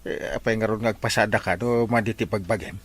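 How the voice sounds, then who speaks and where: A man's voice: on the low side, fairly even intonation, fast talker, close to an everyday voice. A man acting an inquisitive everyday village man in a comedy radio drama, with a Filipino accent. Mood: questioning.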